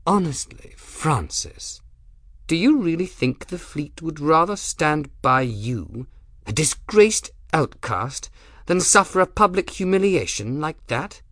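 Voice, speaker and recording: A man's voice: fairly high pitch, big pitch swings, slow, a bit bright, dry recording, clean studio sound, pitched above the performer's own voice, heavily acted. A man voicing an arrogant, sneering male commodore who threatens and belittles. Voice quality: sneering, contemptuous.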